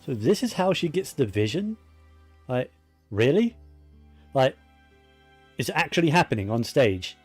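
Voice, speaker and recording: A man commentating casually on a video game in short, informal reactions. His pace is slow and his pitch ordinary, over faint game music. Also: very animated, no echo. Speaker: gaming streamer.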